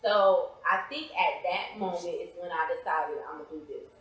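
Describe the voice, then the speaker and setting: A woman's voice: lowish voice, normal speed. An American woman answering interview questions conversationally, in a reflective, earnest way. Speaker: interview guest, playwright and author. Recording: very echoey, reverberant room.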